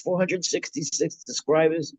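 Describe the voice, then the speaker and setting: A woman's voice: deep voice, fairly even intonation, moderate pace, a little mellow, dry recording. A woman co-hosting a casual political chat livestream, talking plainly and reading viewer comments aloud. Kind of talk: casual live-stream chat.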